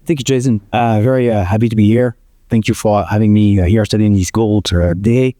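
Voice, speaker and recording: A man's voice: fairly low pitch, fairly even intonation, brisk, warm tone, dry recording, studio quality. A middle-aged man from France with a French accent, a company president answering interview questions hesitantly with many fillers. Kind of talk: interview conversation.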